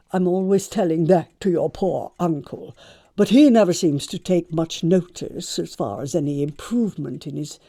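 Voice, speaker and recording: Actress as snobbish older English matriarch; mellow, creaky voice, pompous moral pronouncements, period stage comedy. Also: female voice, lowish voice. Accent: English upper-class. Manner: pompous, deliberate, pronouncing judgements. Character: imperious older aristocratic aunt and mother, snobbish, moralising. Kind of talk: stage comedy audiobook acting.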